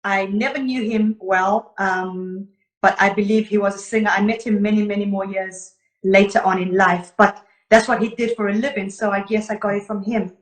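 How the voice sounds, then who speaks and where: A woman's voice: mid-range voice, steady tone, moderate pace. A woman, a guest singer telling her story earnestly in a casual live interview. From Zimbabwe. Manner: warm, earnest storytelling, greets viewers between answers. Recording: echoey room.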